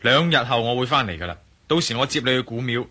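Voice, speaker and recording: A man's voice: medium pitch, animated, fast, bright, forceful tone. A man playing a confident, persuasive adventurer and investigator in a radio drama, earnest and insistent. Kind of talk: Cantonese radio drama acting.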